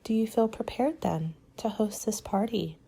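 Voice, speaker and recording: A woman playing a courteous, helpful party planner in a soft-spoken ASMR roleplay, with a measured, polite, advisory manner and soft, breathy delivery. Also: on the high side. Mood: calm, helpful.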